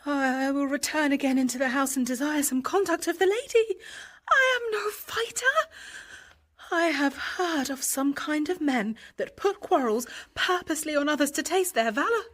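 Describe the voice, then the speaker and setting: A woman's voice: high-pitched, expressive, moderate pace, a bit bright. An actress playing an earnest, timid young woman disguised as a page, speaking politely and hesitantly in a Shakespearean radio drama. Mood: alarmed, fearful.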